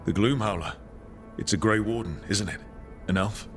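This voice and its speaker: An actor playing an easygoing young rebel hero in a fantasy game, speaking in a casual, reassuring way. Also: male voice, fairly low pitch, normal speed, soft background music, natural voice. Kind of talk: game dialogue acting.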